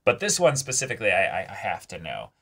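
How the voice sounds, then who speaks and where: A man's voice: low voice, huge pitch swings, fast, vocal fry, bright, forceful tone. A man co-hosting a reaction show, talking through an episode in an informal, rambling way. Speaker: co-host of an anime reaction show. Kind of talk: casual discussion of an anime episode.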